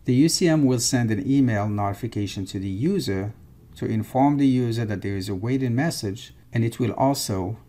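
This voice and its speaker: A man's voice: on the low side, dark tone. A man presenting an instructional screencast, explaining things step by step. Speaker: software tutorial presenter.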